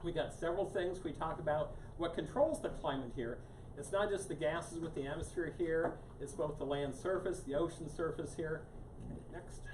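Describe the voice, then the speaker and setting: A man's voice: a little high, normal speed. Man lecturing in a roomy hall. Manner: casual, repeats "here" constantly, many restarts. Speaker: guest speaker on climate science. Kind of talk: live public lecture to a small audience. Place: meeting room with a live audience. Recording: roomy, distant mic with background noise.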